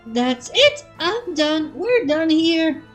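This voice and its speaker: A woman's voice: high-pitched, very animated, slow pace, soft background music, pitched above the performer's own voice, exaggerated voice. A woman voicing a refined, dramatic, fretful female unicorn in a prim, theatrical manner. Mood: exasperated.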